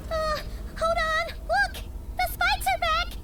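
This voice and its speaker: A woman voicing a tiny, excitable, fretful childlike fairy companion in a video game, with a squeaky voice. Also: takes it slowly, heavy background noise. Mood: alarmed. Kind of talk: scripted video game voice acting.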